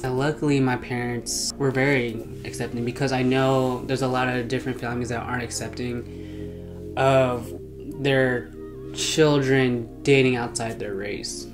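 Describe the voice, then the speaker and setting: A young man co-hosting a couple Q&A, speaking in an even, mid-range voice. He sounds calm and reflective as he gives earnest advice. Music plays clearly behind him. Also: mid-range voice, unhurried. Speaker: co-host, husband. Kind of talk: casual couple Q&A chat.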